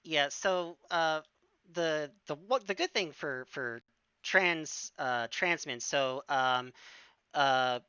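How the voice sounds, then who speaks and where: A woman in her forties with a bright, crisp voice, talking slowly and haltingly. She fills her pauses with ums and restarts as she chats on a stream. The sound is dry and a little dull. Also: low voice, no room sound. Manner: hesitant, many fillers and restarts. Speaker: stream guest. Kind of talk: casual Q&A chat.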